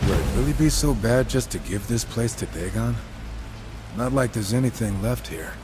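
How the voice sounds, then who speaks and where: An actor playing a cynical, roguish gunslinger in a video game, with a casual, sarcastic, wry delivery. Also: male voice, mid-range voice, expressive, normal speed, background noise, lightly acted. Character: wisecracking, cynical gunslinger horseman, roguish brother.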